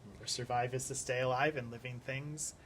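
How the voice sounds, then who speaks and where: Man co-teacher, mid-pitched, patient with kids, faint background hiss. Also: fairly even intonation, brisk, a bit bright. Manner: patient co-teacher explaining words.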